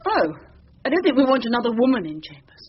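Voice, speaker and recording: An actress playing a brisk young barrister with an educated English accent, dry and guarded in a radio drama. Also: female voice, fairly high pitch. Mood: guarded, flustered. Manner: crisp, dry, hesitant when flattered. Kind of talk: British radio drama acting. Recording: dull lo-fi radio recording, background noise.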